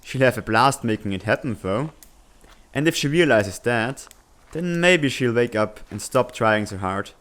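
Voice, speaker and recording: An earnest, responsible teenage student acted by a man in a comic drama. He has a medium-pitched young male voice. He talks in measured, slightly formal sentences with an exasperated edge. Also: animated, a bit bright. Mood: reasoning, defensive. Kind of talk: fan dub comic drama acting.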